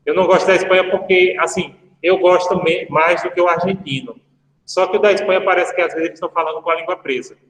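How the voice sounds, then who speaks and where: A male law professor talks casually to his class, drifting into jokey stories. His voice sits a little high. The sound rings with a long, roomy reverb. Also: steady tone, quick pace, fairly bright tone. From Brazil. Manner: chatty, anecdotal, jokey asides to students. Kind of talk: casual online lecture. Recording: echoey room with long reverb.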